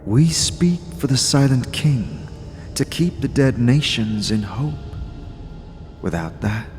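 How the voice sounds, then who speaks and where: A man voicing a sorrowful undead female co-ruler, speaking softly and in a measured way. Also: mid-range voice, animated, big-room sound, faint music behind. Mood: solemn.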